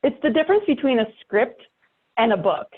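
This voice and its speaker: A woman's voice: fairly high pitch, sing-song, a little fast. A woman podcaster chatting casually and emphatically, repeating herself to drive points home. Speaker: podcast host and writer. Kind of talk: casual chat. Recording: thin, phone-like call audio.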